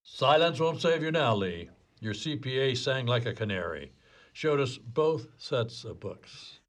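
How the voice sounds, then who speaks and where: A man's voice: mid-range voice, lively intonation, measured pace, close to an everyday voice. A man playing a wry, commanding police detective in a comedy whodunit radio play, with a theatrical, declarative delivery. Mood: stern, triumphant.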